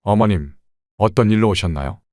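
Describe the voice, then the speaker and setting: A man acts out a careful, polite legal clerk with a very deep voice. He sounds dry and close, as in a studio audio drama. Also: moderate pace, darkish tone, no room sound, lightly acted. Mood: concerned. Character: cautious middle-aged male legal scrivener.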